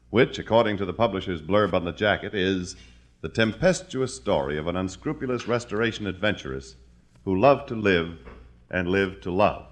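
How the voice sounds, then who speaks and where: An American man narrating from a script as a 1950s radio announcer, in a grand, polished manner. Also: on the low side. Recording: vintage 1950 radio broadcast recording.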